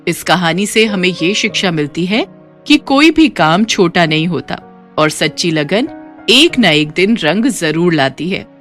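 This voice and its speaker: Woman narrating a moral story, soft music. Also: on the low side, animated, a little slow.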